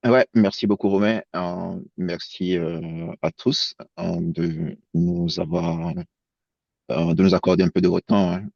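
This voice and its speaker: A man's voice: low-pitched, fairly even intonation, measured pace, gravelly, a little mellow, no room sound. A man who grew up in France, presenting as an accountant in a webinar over a video call, hesitant and full of fillers and restarts. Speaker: accountant guest. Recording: dull lo-fi sound.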